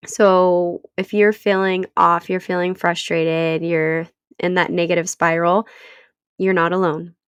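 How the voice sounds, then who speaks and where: Stuffy-nosed woman podcast host, unhurried. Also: on the low side, clean tone, no room sound, studio quality. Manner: earnest, encouraging, unhurried. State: stuffy from a cold. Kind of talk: solo podcast talk.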